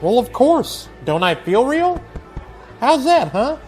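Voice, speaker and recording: Radio drama: man as upbeat, breezy brother, high-pitched male voice, cheerful pilot type. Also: very animated, slow, faint music behind, heavily acted. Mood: eager.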